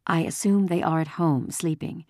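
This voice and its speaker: A woman's voice: fairly low pitch, fairly even intonation, moderate pace, darkish tone, dry recording, clean studio sound, pitched above the performer's own voice, lightly acted. A woman voicing a protective mother in an audiobook, polite and restrained but firm. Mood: quietly pleading. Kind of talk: audiobook character voice.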